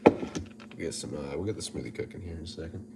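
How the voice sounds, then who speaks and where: A man's voice: extremely low pitch, a little fast, gravelly, very noisy. A man who is a personal trainer, sounding nasal and stuffed up from a head cold, chatting casually and repeating himself on a livestream. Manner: relaxed, chatty, repeats himself, reads out viewer comments. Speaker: personal trainer and gym owner.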